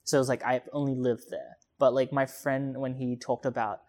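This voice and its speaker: A young man hosting a podcast, asking questions in a halting, searching way with many fillers. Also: mid-range voice, level tone, a little mellow. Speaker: podcast host. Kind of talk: casual interview chat.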